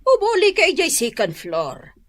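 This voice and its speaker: A woman's voice: very high voice, wildly swooping pitch, normal speed, full character voice. A woman with an Ilocano accent playing a well-off, bossy auntie handing out chores in a radio drama. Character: well-off older auntie giving out household chores.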